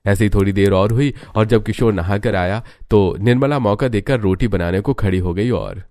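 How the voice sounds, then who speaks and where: A man's voice: lowish voice, moderate pace, a little mellow, dry recording, clean studio sound. A man reading scripted narration for a family drama story.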